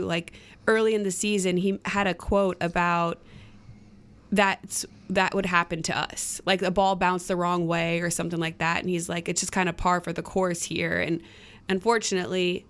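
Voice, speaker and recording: Lower-pitched woman talking sports. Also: fairly bright tone. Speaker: TV studio host and reporter. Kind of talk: casual roundtable sports talk.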